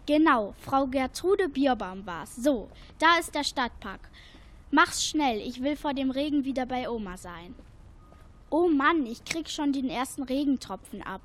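A woman's voice: high voice, expressive, measured pace, slight background noise. A female performer playing a cheeky, easily exasperated girl in a German radio play. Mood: wry.

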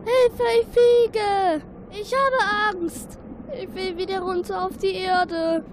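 A woman voicing a tiny male earworm, whiny and excitable, in a children's audio story. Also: very high voice, slow, heavy background noise, pitched above the performer's own voice. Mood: frightened. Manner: exclaiming, plaintive, childlike.

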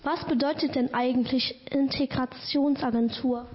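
A woman's voice: high voice, fairly even intonation, moderate pace. A teenage girl, a youth podcast reporter, politely reading prepared interview questions. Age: teens. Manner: polite, carefully read questions. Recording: dull lo-fi sound.

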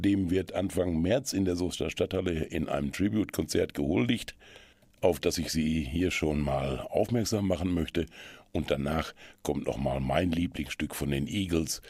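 A man presenting a radio culture magazine, chatting in long, measured sentences as he passes on tips to listeners. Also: very deep voice, a little mellow, husky, dry recording.

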